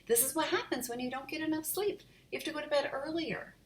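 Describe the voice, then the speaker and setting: A woman's voice: sits high, fast talker, voice pushed up, natural voice. A woman voicing a stern mother who scolds her children, firm and raised, in a roomy echo. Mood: calling.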